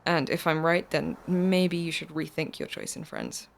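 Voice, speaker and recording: Casting: mysterious female protector, low-voiced, calm and knowing, audio drama. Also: moderate pace, gravelly. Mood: cool, assured. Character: mysterious, calm, insistent woman driver, secret protector.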